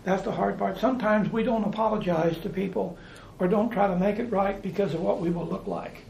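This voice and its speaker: A man's voice: high-pitched, brisk, vocal fry, darkish tone, echoey room, dull audio, slight background noise. An American man preaching informally, talking in a conversational way, repeating phrases and restarting his sentences. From United States.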